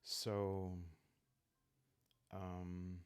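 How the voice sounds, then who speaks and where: A man hosting a solo roleplay game playthrough, calm and soft-spoken, improvising the story and thinking aloud as he goes. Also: low-pitched, very slow, smooth, no echo. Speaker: host playing a solo journaling roleplay game.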